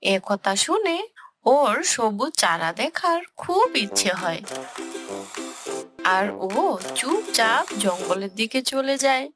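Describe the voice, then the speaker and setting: A woman tells a children's story as narrator, with a fairly high voice and a very animated melody. She takes her time, and music plays behind her. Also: slow.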